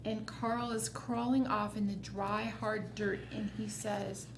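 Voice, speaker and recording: A woman hosting storytime, reading a children's picture book expressively, with quiet asides. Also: medium pitch, level tone, slow, background noise. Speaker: storytime host.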